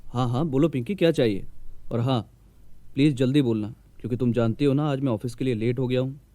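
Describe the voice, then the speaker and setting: A man voicing a brusque, hurried young husband in a moral-story cartoon, dismissive and matter-of-fact. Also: mid-range voice, mellow, no room sound, lightly acted.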